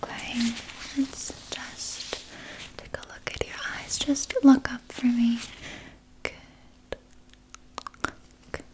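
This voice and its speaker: A woman voicing a soothing, sly vampire nurse in a gentle, breathy ASMR whisper, reassuring a patient with small hesitant pauses. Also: a little high, level tone, poor audio. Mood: gentle, clinical. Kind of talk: ASMR personal attention roleplay.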